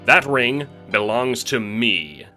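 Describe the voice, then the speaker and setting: A blustering, protective old grandfather from an audio drama, played by a man. He speaks in a pompous, old-fashioned way, with a sharp edge and raised pitch. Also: lively intonation, slow, faint music behind, heavily acted. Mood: defiant anger. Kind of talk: audio drama character acting.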